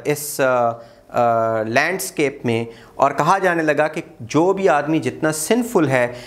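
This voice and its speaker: A man gives an exam-prep history lecture. He gestures a lot, repeats key dates and often pauses with "uh". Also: fairly high pitch. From Pakistan. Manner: animated, gestures widely, repeats key dates, frequent "uh" fillers. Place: small room in front of a whiteboard. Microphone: lapel mic.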